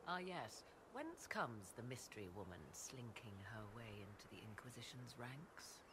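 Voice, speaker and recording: An actress playing a proud, enigmatic sorceress in a video game, speaking in theatrical, archaic and formal phrases. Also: female voice, extremely low pitch, sing-song, normal speed, noisy background. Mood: wry, reflective. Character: proud, enigmatic apostate sorceress, arcane advisor. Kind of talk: video game dialogue acting.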